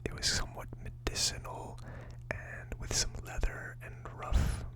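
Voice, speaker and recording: A person whispering in a breathy, unvoiced ASMR style, casually murmuring through notes as the host of a tasting video. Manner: slow, murmured word-by-word listing while typing notes.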